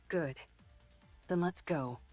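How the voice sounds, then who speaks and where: An actress playing a capable, protective young soldier and technician with an anxious streak in an anime game. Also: female voice, sits low, very slow, darkish tone, exaggerated voice. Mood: focused, confident. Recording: game music behind.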